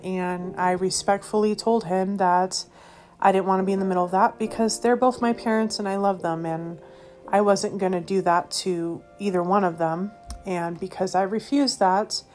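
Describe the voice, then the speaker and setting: A woman from Colorado sharing her personal testimony as a ministry host, speaking in an earnest, halting way with many pauses and fillers. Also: mid-range voice. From Colorado, USA.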